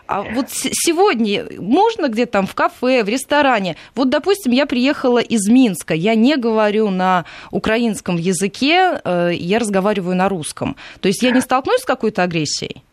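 A woman's voice: a little high, big pitch swings, moderate pace, hardly any pauses, no room sound. A woman hosting a talk show, asking her guests questions conversationally. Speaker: host.

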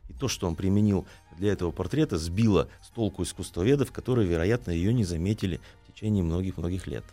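A man speaking as an interview guest, explaining in a measured, halting way and choosing words carefully. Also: low-pitched, dark tone, soft background music. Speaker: studio guest, art collector.

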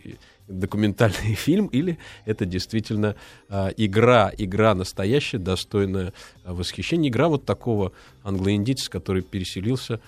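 Low-voiced male radio host. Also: a little mellow, no room sound. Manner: thoughtful, hesitant with many fillers. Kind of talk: live radio talk. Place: radio studio. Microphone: studio microphone.

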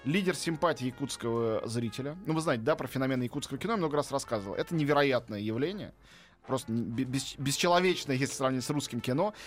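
A man's voice: mid-range voice, quick pace. A middle-aged man talking as a film critic in a casual studio chat, enthusiastic and opinionated, with many fillers. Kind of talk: radio film review chat.

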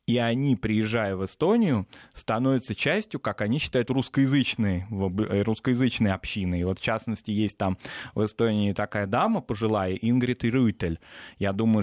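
A man with a lowish voice explains political matters in a measured, unhurried way, pausing now and then to find the word. The recording is dry and close, with no room echo. He sounds like a co-host steering a studio talk show. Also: a little mellow.

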